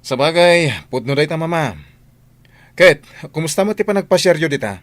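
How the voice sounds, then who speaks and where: A man playing a courteous grown son and household boss in a radio drama, measured and authoritative. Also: fairly high pitch, animated, a bit bright, no echo. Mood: polite, businesslike.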